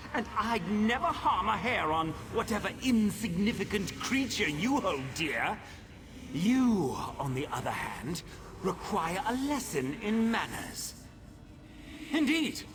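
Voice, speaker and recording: A man's voice: high voice, background noise. A man voicing a haughty trickster demon prince in a video game, gleefully menacing, with a theatrical, condescending, mock-polite drawl. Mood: indignant. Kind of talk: video game villain acting.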